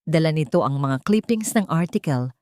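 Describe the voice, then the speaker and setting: Low-voiced woman narrating a drama. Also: normal speed, dry recording, clean studio sound. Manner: steady, dramatic storytelling. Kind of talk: dramatic scripted story narration.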